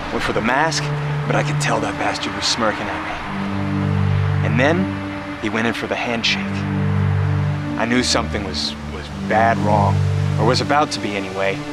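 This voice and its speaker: An actor playing a rugged post-apocalyptic wanderer who tells boastful tall tales in a folksy, emphatic way, in a film drama. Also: male voice, on the low side, moderate pace, pauses often, loud music behind the voice, lightly acted. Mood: boastful, dramatic. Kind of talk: film dialogue, campfire storytelling.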